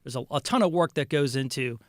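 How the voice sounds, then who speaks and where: A man's voice: medium pitch, a little fast, no room sound. A man hosting a radio interview, conversational and encouraging, asking open questions. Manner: conversational, brief encouraging replies. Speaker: radio host.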